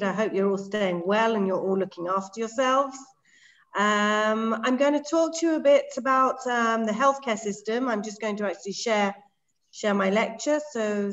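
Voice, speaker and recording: English woman lecturing over a muffled call. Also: mid-range voice. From England. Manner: clear and organised, with frequent ums. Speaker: medical director giving a webinar lecture. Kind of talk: presenting a lecture. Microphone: video call.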